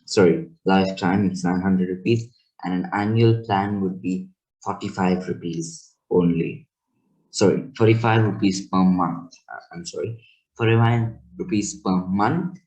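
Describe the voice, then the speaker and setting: Male tech host, deep voice, casual rambling how-to delivery. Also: fairly even intonation, a little slow, a little mellow, rough recording. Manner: loose, rambling explanation with self-corrections. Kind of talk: casual screen tutorial.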